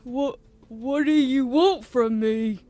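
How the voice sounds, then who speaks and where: Woman as slow, mellow rock creature Garnet. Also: sits high. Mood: blunt, flustered. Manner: deliberate, blunt, speaks in third person. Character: slow, literal-minded rock creature named Garnet, easily flustered. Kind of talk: improvised tabletop roleplay.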